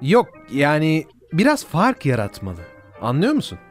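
A man's voice: fairly high pitch, huge pitch swings, measured pace, soft background music. A man playing a harried, glib office boss who placates people, in a cartoon parody dub. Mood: dismissive.

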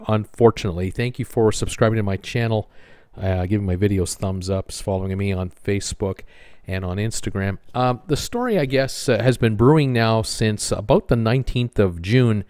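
A man with a North American accent, hosting an informal news commentary video in a halting, hesitant way with frequent pauses. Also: on the low side, warm tone, smooth, dry recording, clean studio sound. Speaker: host of a cruise news channel.

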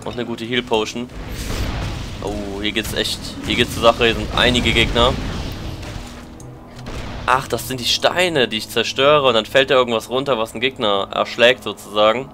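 A man's voice: medium pitch, steady tone, moderate pace, music in the background. A German man with a lingering cold, chatting casually as he plays and comments on a game, thinking aloud. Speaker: let's play commentator.

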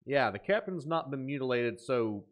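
A man in his thirties hosts a reaction video with a mid-range voice. He thinks out loud as he goes, swinging between excitable exclamations and quieter musing. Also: mid-range voice, normal speed. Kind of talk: reaction commentary, thinking aloud. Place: small indoor room at a desk.

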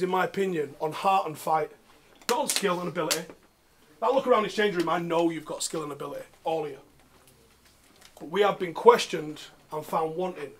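A man, speaking emphatically and bluntly as a football coach. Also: high voice. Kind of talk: team talk and interview, swearing. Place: changing room and outdoor pitch. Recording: clear background noise.

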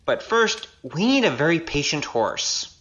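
A woman voicing a wry, quick-witted young male trickster in a kung fu audio drama. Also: low voice, big pitch swings, a bit bright, highs cut off, exaggerated voice. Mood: wry scheming. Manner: wry, casual, scheming.

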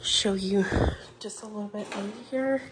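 A young woman from Puerto Rico, gushing with excitement as she films a tour of her new empty house on a handheld phone. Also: medium pitch, moderate pace, heavy background noise. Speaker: vlogger. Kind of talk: home tour vlog. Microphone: handheld phone camera.

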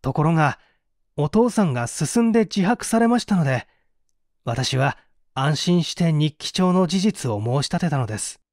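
A male reader plays a dutiful young man in an old mystery story. His voice is fairly high for a man and swings widely with feeling. He speaks in formal, polite phrases with earnest emphasis, and the sound is dry and close. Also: lively intonation, normal speed, darkish tone, no echo. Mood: calm, explaining.

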